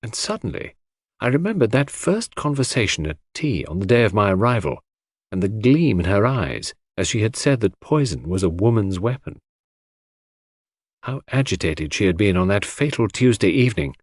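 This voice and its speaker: A man's voice: fairly low pitch, big pitch swings, normal speed, no echo, clean studio sound. A man reading a first-person mystery audiobook as a scripted narrator.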